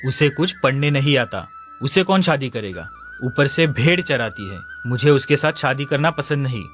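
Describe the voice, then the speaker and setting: A man's voice: medium pitch, animated, normal speed, a bit bright, faint music behind, close to an everyday voice. A man voicing a stubborn, dismissive young man. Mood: defiant. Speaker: voice actor. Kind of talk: cartoon story dubbing.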